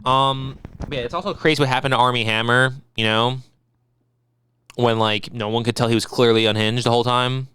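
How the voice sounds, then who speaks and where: A man co-hosting a casual podcast, rambling and profane, telling animated stories. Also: mid-range voice, a little fast, a little hiss or hum. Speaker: podcast co-host. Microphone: podcast microphone.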